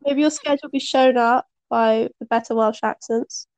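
High-voiced teasing young woman. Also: fairly even intonation, normal speed, darkish tone, rough recording. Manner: teasing, laughs often. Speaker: podcast co-host. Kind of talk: casual podcast chat.

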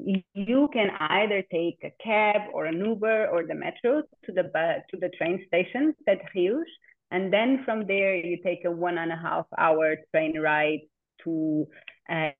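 A woman from Portugal, talking casually and haltingly as an interview guest, often repeating words. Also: lowish voice. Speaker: interview guest, community land steward. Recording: narrow phone-like call audio.

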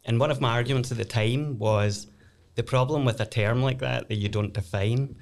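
A man's voice: mid-range voice, normal speed. A man from Glasgow with a Glaswegian accent, a lawyer guest explaining things in casual chat. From Glasgow, Scotland.

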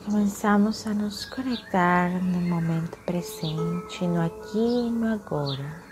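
A woman guides a meditation very slowly, in a fairly low voice. She is soothing and leaves long pauses between phrases. Music plays clearly behind her.

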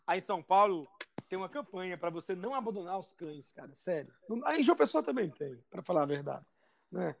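A man's voice: sits high, lively intonation, a little fast, gravelly, a bit bright, a little hiss or hum. A man with a cold, co-hosting a casual joking chat in a teasing, playful way. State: has a cold. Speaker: co-host.

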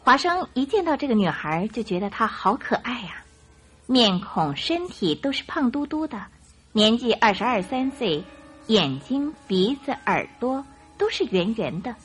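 A woman narrating a radio drama in an expressive storytelling style. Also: a little high, a little slow. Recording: music behind the voice.

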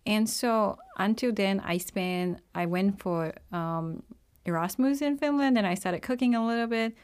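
A woman's voice: medium pitch, a little slow, a little mellow, smooth. A young woman from Singapore, chatting as an interview guest and filling her talk with "like" and "you know". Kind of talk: casual conversational interview.

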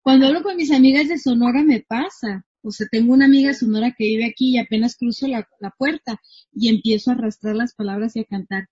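A woman's voice: fairly high pitch, fast talker, slightly rough, highs cut off. A woman, a marketing coach chatting casually as a guest from her home. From northwestern Mexico. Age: 30s. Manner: chatty, animated, laughs easily. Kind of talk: casual interview chat.

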